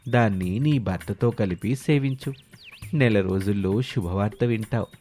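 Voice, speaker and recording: A man's voice: on the low side, moderate pace, mellow, soft background music, natural voice. A man voicing a sly swindler posing as a holy man, solemn and coaxing, in a moral story. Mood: instructing, promising. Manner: solemn, pronouncing, coaxing with promises and warnings. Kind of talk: animated moral story dialogue.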